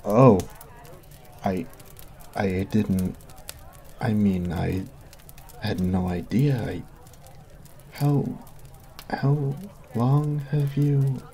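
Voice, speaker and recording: A man's voice: lowish voice, warm tone, full character voice. A man voicing a sweet, goofy college jock in an ASMR roleplay, earnest and flustered, close on a binaural mic. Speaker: voice actor.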